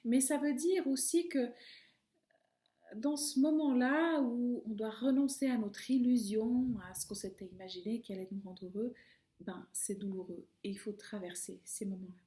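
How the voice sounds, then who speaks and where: Soft, reflective middle-aged woman. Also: a little high, moderate pace. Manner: calm, reflective, trailing off softly at phrase ends. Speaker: video host. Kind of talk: personal reflective monologue. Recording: echoey room.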